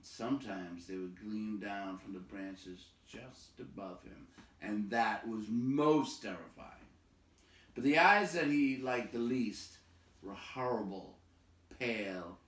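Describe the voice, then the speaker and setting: Man, storybook narrator, very unhurried, dramatic, measured, suspenseful pauses, echoey room. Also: lowish voice, a bit bright. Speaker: storybook reader. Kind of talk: dramatic read-aloud narration of a fantasy novel. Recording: roomy echo, distant microphone.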